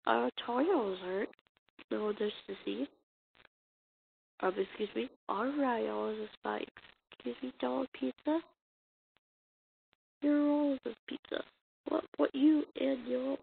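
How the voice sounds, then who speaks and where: A woman reading a comic dub as an amateur voice actor, acting out the dialogue in a halting, stumbling way on a muffled, noisy recording. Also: sits high, gravelly. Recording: muffled lo-fi recording with noise and clicks.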